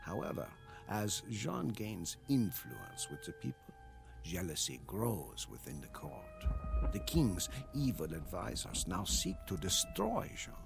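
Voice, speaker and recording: An actor playing a weary, devoted French soldier, narrating a war journal for a game in a solemn, dramatic, gravelly voice. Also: male voice, low-pitched, very animated, heavily acted. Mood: grave, resolute. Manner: solemn, dramatic, measured delivery. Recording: loud music under the voice.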